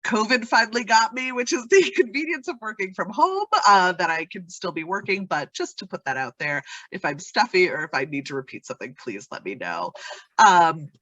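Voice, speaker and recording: A woman's voice: mid-range voice, moderate pace, no echo, highs cut off. Stuffy-nosed woman hosting an online workshop. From Vermont, US. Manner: friendly, relaxed, many ums. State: stuffed up from a cold. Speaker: workshop host. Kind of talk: welcoming online workshop talk. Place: sunroom at home. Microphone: video call from home.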